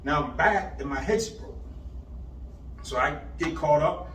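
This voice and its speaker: A man in his fifties from Boston, telling his life story in animated street slang, rattling off places. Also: a little high, measured pace. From Boston, Massachusetts. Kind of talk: casual storytelling interview. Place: indoor room on location. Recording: echoey room, far mic, faint background hiss.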